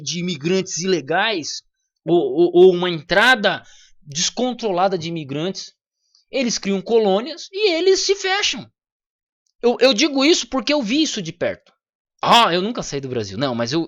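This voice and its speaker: A man's voice: sits high, fairly bright tone. Man, Brazilian Portuguese accent, blunt slangy talk, swearing, dull lo-fi audio. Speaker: channel host. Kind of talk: casual opinion monologue.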